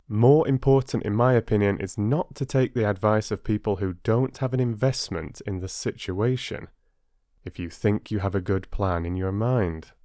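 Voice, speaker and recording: ゆったりと語る、低めの声の男性朗読者. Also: male voice, fairly low pitch, dark tone, no echo, studio quality. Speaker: storyteller and channel host. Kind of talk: expressive audiobook narration and listener address.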